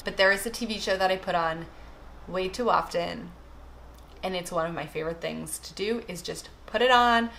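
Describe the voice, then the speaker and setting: A young woman from Toronto with a Canadian accent talks casually to her viewers. Her voice sits a little low for a woman but sounds bright and forward. She is chatty and emphatic, letting phrase endings trail away. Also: gravelly. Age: young adult. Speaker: vlogger introducing herself. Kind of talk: casual chat to camera, get-to-know-me answers.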